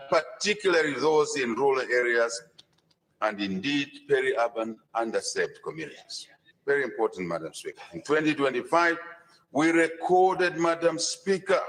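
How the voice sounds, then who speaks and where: A man with a Zambian accent giving a formal speech in parliament, deliberate and emphatic, pausing between short phrases. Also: medium pitch, fairly bright tone, low-quality recording. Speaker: politician addressing parliament.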